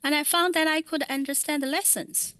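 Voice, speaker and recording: A woman interpreting children's remarks from Mandarin into English at a public meeting, in measured, plain phrasing. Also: sits high, fairly even intonation, sharp tone, no room sound.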